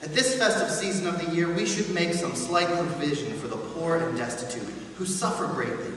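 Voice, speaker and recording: A courteous gentleman collecting for charity acted by a man in a staged reading. He is formal, solemn and measured. The voice sounds distant in a long hall echo with faint noise. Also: fairly high pitch, lightly acted. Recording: distant, echoey hall, some hiss.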